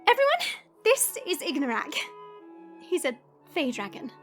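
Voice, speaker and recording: Woman playing a high-voiced noble dragon lady. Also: huge pitch swings, unhurried, fairly bright tone, faint music behind, exaggerated voice. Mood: fondly surprised. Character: noble dragon woman, composed, knowing, gently scolding. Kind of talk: fantasy audio drama acting.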